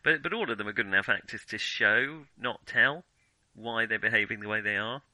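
A man's voice: on the low side, brisk, bright, forceful tone, no echo. A man co-hosting a casual film discussion, talking in a hesitant, rambling way and often correcting himself. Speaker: podcast co-host discussing films.

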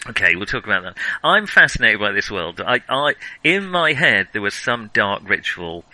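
A man with a fairly low, very bright and forceful voice talks through films as a podcast host. He thinks aloud in a casual, conversational way, and the sound is dry and close. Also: very animated, normal speed, dry recording, rough recording. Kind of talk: casual film discussion.

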